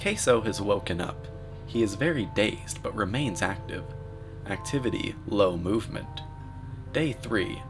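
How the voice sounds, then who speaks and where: A man delivering scripted commentary in a deadpan, mock-serious manner. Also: fairly low pitch, unhurried. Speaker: narrator, video commentator. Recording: voice-over with music underneath. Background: music.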